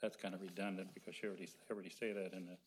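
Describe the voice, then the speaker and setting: Man, low register, rapid delivery, committee member asking quick clarifying questions. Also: little pitch movement, slight background noise. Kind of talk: casual meeting discussion.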